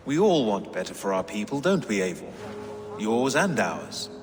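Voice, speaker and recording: A man voicing a learned, devout king who speaks in measured, formal phrases. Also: lowish voice, very animated, big-room sound, faint music behind. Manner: scholarly, measured, quotes scripture. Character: learned, pious Saxon king.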